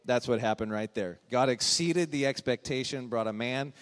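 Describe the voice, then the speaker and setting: A man pastor introducing guests during a church service, speaking in a halting, heartfelt way with frequent ums. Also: medium pitch. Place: church sanctuary. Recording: long hall reverb.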